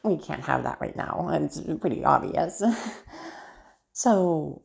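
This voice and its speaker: A woman playing a crazy, seductive female patient in an audio roleplay, teasing and menacing, with halting, drawn-out phrases that drop toward a hush. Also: deep voice, expressive, vocal fry, slightly rough, full character voice. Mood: coy, teasing. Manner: teasing, halting, drawn-out words with sudden hushed drops. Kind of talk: audio roleplay monologue addressed to listener.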